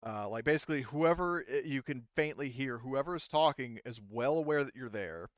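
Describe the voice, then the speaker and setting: A man's voice: medium pitch, brisk, no room sound, dull audio. A man improvising as game master in a tabletop game, thinking aloud hesitantly and swearing casually.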